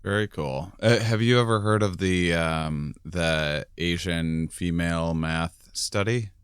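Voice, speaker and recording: A man hosts a podcast interview in a deep, smooth, resonant voice. The sound is dry and close, and he rambles with plenty of fillers. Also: slow, no echo. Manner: rambling, restarts with many fillers. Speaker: podcast host. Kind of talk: casual interview conversation.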